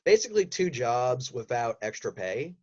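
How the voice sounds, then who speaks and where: A man telling stories casually as an interview guest, in an animated way that acts out quotes. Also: medium pitch, normal speed, muffled recording. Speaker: podcast guest, voice actor.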